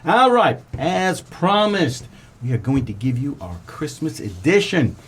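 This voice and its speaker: A man's voice: a little high, big pitch swings, unhurried, fairly bright tone, slightly rough. An American man casually hosting a radio show.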